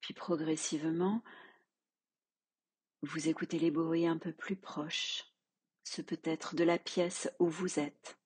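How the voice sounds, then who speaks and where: A woman teaching yoga nidra, leading a guided relaxation in a soft, calm, measured way with long pauses. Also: deep voice, husky.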